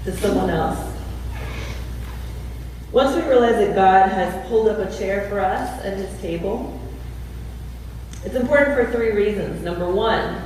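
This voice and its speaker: A woman's voice: mid-range voice, darkish tone, a little hiss or hum. Woman preaching in an echoey hall. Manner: storytelling with slowed emphasis. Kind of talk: sermon. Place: church sanctuary. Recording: echoey church hall from far off.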